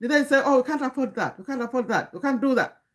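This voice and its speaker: This is a woman, coaching viewers on a live stream. Her voice sits a little high, and she lectures earnestly, stopping and restarting her sentences as she goes. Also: animated, quick pace. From Uganda. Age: 50s. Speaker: livestream host and community coach.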